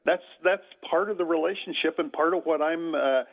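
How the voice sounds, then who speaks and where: An older man, a grandfather, talking as a guest on a radio show, with a loud voice and a halting manner full of fillers and restarts. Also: on the high side, creaky. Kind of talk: radio talk show interview. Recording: tinny phone-like radio audio.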